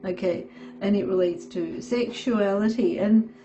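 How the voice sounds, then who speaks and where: Slow hoarse woman reading tarot. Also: medium pitch, dark tone, lo-fi sound, soft background music. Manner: halting, many fillers and "okay"s. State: hoarse from a sore throat. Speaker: tarot reader.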